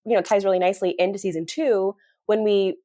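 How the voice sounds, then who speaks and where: A woman's voice: mid-range voice, fairly even intonation, fast, mellow. A woman co-hosting a podcast, giving a casual, thoughtful TV review in a hesitant way peppered with "like". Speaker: podcast co-host.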